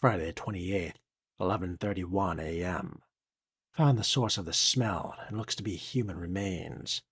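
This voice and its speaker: Low husky man, measured game narration. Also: fairly bright tone, no echo, clean studio sound. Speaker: player role-playing a video game. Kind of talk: in-character game narration, reading documents aloud.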